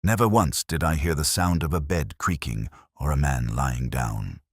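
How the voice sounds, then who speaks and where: Very deep-voiced man narrating a mystery story. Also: moderate pace, slightly rough, no room sound, studio quality. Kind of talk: scripted first-person mystery audiobook narration.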